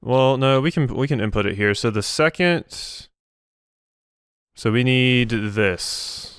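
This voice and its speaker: A man's voice: mid-range voice, slow pace, fairly bright tone, smooth, dry recording, clean studio sound. A man doing casual let's play commentary, thinking aloud as he plays.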